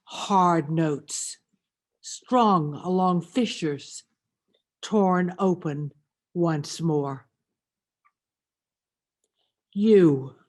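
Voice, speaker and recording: A woman reading a poetic meditation as a grieving, tender mother, solemn, measured and reverent. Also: fairly low pitch, a little mellow. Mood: sorrowful. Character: grieving, tender mother addressing her suffering son.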